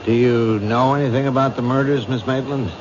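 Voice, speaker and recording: A man's voice: medium pitch, fairly even intonation, brisk, close to an everyday voice. A man acting as a skeptical, wisecracking police captain in an old radio crime drama, slangy and dismissive. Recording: muffled, noisy old radio recording.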